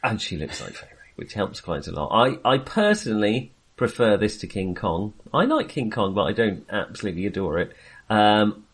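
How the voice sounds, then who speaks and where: A man talks casually as a podcast co-host. His lowish voice is husky and moves around in lively swings. He wanders and hesitates through his thoughts, amusing himself with asides as he goes. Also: moderate pace. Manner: hesitant, wandering, chuckly self-amused asides. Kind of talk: casual film discussion.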